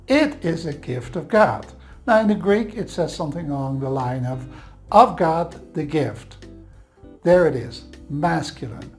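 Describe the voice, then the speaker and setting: A man's voice: a little high, darkish tone. Dutch-accented man teaching Bible grammar. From Netherlands. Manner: earnest, explanatory, reassuring asides.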